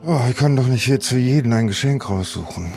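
A man's voice: medium pitch, expressive, a little fast, darkish tone, natural voice. A man playing a practical, slightly impatient newcomer in an improvised audio play, speaking casually and matter-of-factly. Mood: brisk, impatient. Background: background music.

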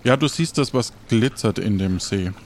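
A man improvising as game master in an adventure game, talking in a casual, colloquial and halting way. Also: fairly low pitch, lively intonation, slight background noise.

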